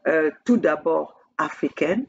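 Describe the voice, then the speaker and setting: A woman from Congo, addressing her camera as a political activist in an emphatic, repetitive way, halting often on fillers. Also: sits low, lively intonation, gravelly, darkish tone, breathy edge, dull audio. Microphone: speaking to own camera.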